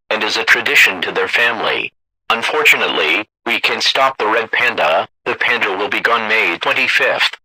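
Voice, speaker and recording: Male alert announcer, lowish and very sharp voice with some rasp, scripted and impersonal broadcast delivery. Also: no room sound, low-quality recording. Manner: formal, impersonal.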